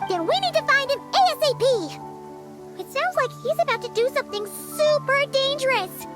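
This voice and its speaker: A woman voicing a tiny, excitable, childlike game companion with a squeaky voice and an earnest, exclamatory manner. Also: moderate pace. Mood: alarmed, earnest. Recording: loud music behind.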